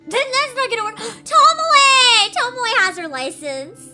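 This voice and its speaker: A woman's voice: extremely high pitch, expressive, a little slow, over loud music. A young woman streamer commenting on a game with giggly, sarcastic chatter.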